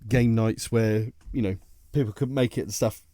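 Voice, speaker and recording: A man's voice: lowish voice, moderate pace, dark tone, dry recording, clean studio sound. A man co-hosting a podcast, telling anecdotes in a jokey, casual way with informal British slang. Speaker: podcast co-host.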